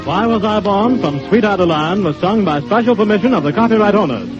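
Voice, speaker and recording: A man's voice: high-pitched, lively intonation, a little fast. A man reading a scripted network announcement in 1930s radio style. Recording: dull 1932 radio recording, loud music.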